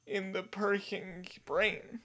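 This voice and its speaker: A man's voice: high voice, flat delivery, takes it slowly, a bit bright. A man chatting casually as a guest on a podcast, a podcaster himself. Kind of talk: casual chat.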